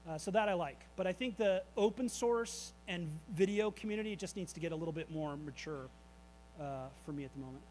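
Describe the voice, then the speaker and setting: A man's voice: high voice, a little fast, darkish tone, slight background noise, rough recording. A man speaking loosely and candidly at a meetup, answering audience questions about his creative tech work with plenty of fillers. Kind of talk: casual presentation Q&A. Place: event space at a meetup.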